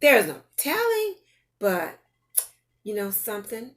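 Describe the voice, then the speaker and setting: An older woman reading a picture book aloud to young children, playful and expressive, often putting questions straight to her listeners. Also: mid-range voice, slow. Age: older, grandparent. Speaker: storytime host reading to children.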